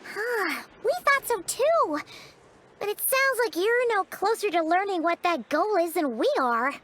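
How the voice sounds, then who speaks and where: A woman's voice: extremely high pitch, expressive, measured pace, a bit bright, a little hiss or hum, heavily acted. A woman voicing a tiny, childlike fairy companion in a video game, bubbly, chatty and cheeky, with exaggerated delivery. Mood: curious, excited. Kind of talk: animated video game voice acting.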